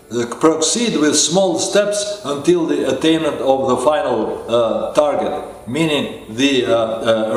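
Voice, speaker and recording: A non-native English-speaking man delivers a motivational lecture as a life coach, pausing often and filling the gaps with hesitant sounds. His voice sits at an ordinary middle pitch. The recording carries heavy echo, as if made in a bare, reverberant room. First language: not English. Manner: slow and deliberate with frequent hesitations and fillers. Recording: very echoey room.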